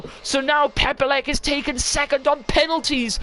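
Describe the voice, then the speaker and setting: Excitable racing caller, high voice, straining, asks co-host questions. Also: normal speed. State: straining, losing voice. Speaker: sim racing commentator. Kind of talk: live esports race commentary.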